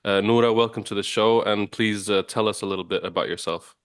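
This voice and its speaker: A man hosts a casual news podcast, stumbling through his sentences with plenty of uhs and restarts. His voice is smooth and a bit low, recorded dry and close to the mic. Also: steady tone, a little fast, no echo. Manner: hesitant, many uhs and restarts. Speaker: podcast host.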